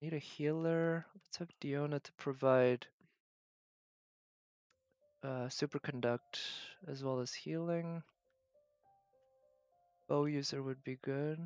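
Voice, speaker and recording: A woman giving casual livestream game commentary, thinking aloud in halting fragments as she plays. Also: sits low, fairly even intonation, darkish tone, clean tone, no echo, lo-fi sound, faint music behind. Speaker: game streamer.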